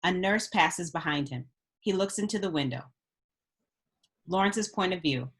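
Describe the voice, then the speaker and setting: A woman's voice: lowish voice, lively intonation, a little slow, breathy edge. A woman reading stage directions as scripted narration at a table read.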